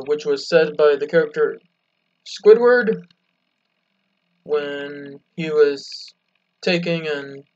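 A man hosting an amateur video, addressing viewers in halting, wordy phrases. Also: a little high. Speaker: video host. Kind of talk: amateur vlog talk to viewers. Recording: dull lo-fi home recording.

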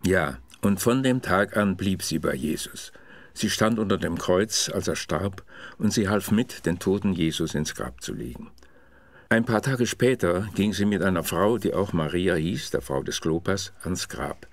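Storyteller dad for Bible radio play, deep, patient, explanatory. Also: male voice, lively intonation, exaggerated voice. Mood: explaining. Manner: measured, explanatory. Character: patient father telling Bible stories to his child. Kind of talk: storytelling in German audio drama.

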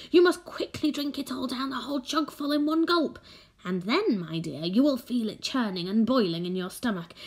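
A tiny, bald, whiskered old man, put on by a woman reading aloud speaks slowly in a creaky, hushed voice. He coaxes in drawn-out whispers, a sly and eerie storybook figure. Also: on the high side. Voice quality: slow and creaky. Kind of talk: audiobook character voice.

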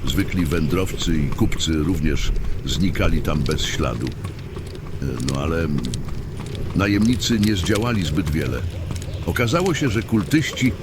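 A man playing a father who tells a dark fantasy tale in a measured, explanatory storytelling manner. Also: low voice, animated. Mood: calm, explanatory. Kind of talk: fantasy audio drama narration. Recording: loud vehicle-like ambient noise behind.

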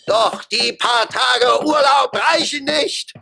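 An actor playing a proud, aggrieved master mason in a radio play, with a declamatory, emphatic delivery. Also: male voice, extremely high pitch, slow pace, vocal fry, bright, forceful tone, soft background music, exaggerated voice. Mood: aggrieved, boastful. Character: proud, put-upon master mason full of grievances. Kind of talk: theatrical radio play acting.

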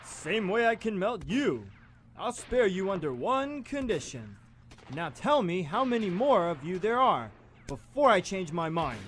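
A high, very animated young male voice acted by a man plays a cocky high-school rebel turned masked vigilante. It is theatrical radio drama with clear noise and effects behind it. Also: measured pace, full character voice. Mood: menacing. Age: young adult. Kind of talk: student live radio theatre acting. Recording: noisy live radio play with gunfire effects.

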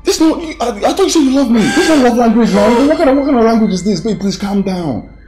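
A wheedling, domineering Nigerian husband in a screen melodrama. His pitch swings widely as he repeats himself insistently, with loud music playing behind the dialogue. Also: animated, quick pace. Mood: anxious pleading. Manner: repeats questions and phrases insistently. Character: philandering husband pleading with and scolding women, wheedling, domineering. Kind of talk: melodramatic screen drama acting.

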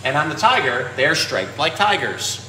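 A man's voice: on the high side, sing-song, normal speed, sharp tone. A man in his thirties talking conversationally, explaining and presenting in a casual educational storytime style. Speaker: zookeeper and pet store presenter. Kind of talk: casual educational animal talk and picture-book storytime. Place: small indoor room with painted aquarium backdrop. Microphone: phone at arm's length. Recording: echoey room, faint background noise.

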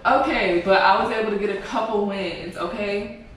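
A young woman chatting casually in her home kitchen, telling a story with lots of giggles and animated asides. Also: mid-range voice, normal speed. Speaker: vlogger. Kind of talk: casual vlog chat. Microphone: camera across the room. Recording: echoey room, far from camera, background noise.

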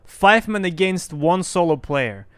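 Excited young man streaming, Russian native. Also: high voice, a little slow, clear, resonant tone, no echo. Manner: excited, boastful, talks to chat. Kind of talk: live gameplay commentary. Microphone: headset.

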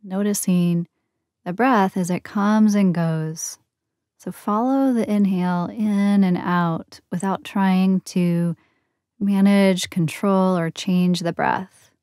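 Lady doing a guided body scan relaxation, super slow n soothing, close dry sound, lots of pauses. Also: mid-range voice, steady tone, dry recording. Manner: soothing, gentle, unhurried with long pauses. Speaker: meditation guide. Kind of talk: guided yoga nidra meditation.

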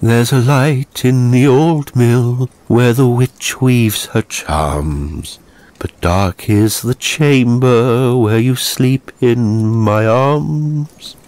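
A man's voice: mid-range voice, extremely slow, a little mellow, pitched above the performer's own voice. A man voicing a drunk young English nobleman, jolly and mocking, with a boisterous, slurred, teasing manner in a horror story. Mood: maudlin singing.

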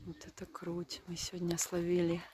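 A woman's voice: on the low side, completely flat delivery, normal speed. A woman with a Russian accent, chatting casually and exclaiming with enthusiasm as a travel vlogger on a handheld phone. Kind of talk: casual chat and vlog narration. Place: outdoors by the sea and in a car. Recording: noisy outdoor and car phone recording.

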